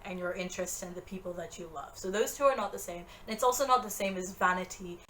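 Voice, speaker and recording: A woman's voice: on the low side, fast talker, sharp tone, slight background noise, low-quality recording. A woman in her twenties talking earnestly to the camera in a motivational vlog, direct and encouraging. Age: 20s. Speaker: vlogger.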